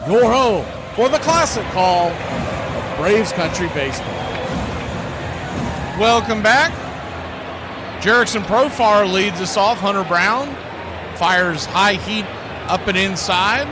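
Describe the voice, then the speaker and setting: A man calling live baseball play-by-play for radio in clipped phrases with drawn-out calls. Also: high-pitched, expressive, background noise. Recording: loud outdoor ambience behind the voice. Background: loud outdoor ambience.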